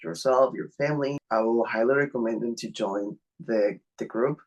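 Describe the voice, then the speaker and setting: A man from Mexico whose first language is Spanish, speaking English with a Mexican accent as an interview guest, earnest and a little halting, over a video call. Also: medium pitch, poor audio. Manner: earnest, halting non-native phrasing.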